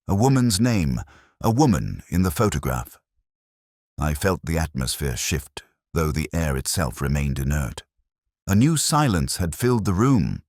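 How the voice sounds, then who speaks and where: A man playing a weary, brooding older detective who narrates his case in measured, literary, introspective mystery prose. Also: very deep voice, no room sound, studio quality, heavily acted. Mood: grave, contemplative. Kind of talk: scripted first-person mystery narration.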